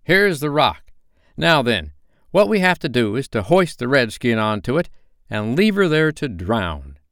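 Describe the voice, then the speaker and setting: A man voicing an excitable, brutish Irish pirate underling in a storybook reading. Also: mid-range voice, unhurried, dry recording, studio quality, pitched above the performer's own voice. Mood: barking orders.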